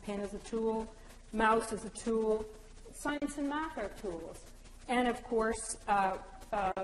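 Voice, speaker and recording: A woman's voice: mid-range voice, a little hiss or hum. Woman presenter in an echoey hall. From Newfoundland, Canada. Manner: explanatory, joking aside with anecdotes. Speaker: conference presenter. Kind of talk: conference presentation.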